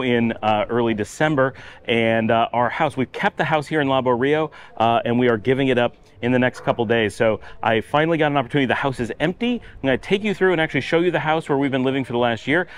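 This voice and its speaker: A middle-aged man vlogging a walkthrough of an empty house, chatting loosely with lots of fillers, filmed on a handheld camera from the street into echoey rooms. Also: mid-range voice. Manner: chatty, rambling, many "uh" fillers. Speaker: vlogger giving a house tour. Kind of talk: casual walkthrough vlog. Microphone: handheld camera held at arm's length.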